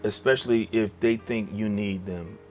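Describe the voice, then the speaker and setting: A middle-aged man speaks in a low, easygoing voice, full of "you know" and restarts. He tells his story in a relaxed, conversational way. Soft music plays faintly beneath him. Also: normal speed. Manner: laid-back, many fillers and restarts. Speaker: songwriter guest. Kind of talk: casual interview talk.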